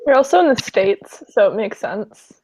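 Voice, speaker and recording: A woman's voice: fairly high pitch, sing-song, moderate pace, darkish tone, slightly rough. A young woman from Canada chatting casually in a group, blunt and teasing, swearing now and then. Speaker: chat participant. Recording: dull lo-fi recording.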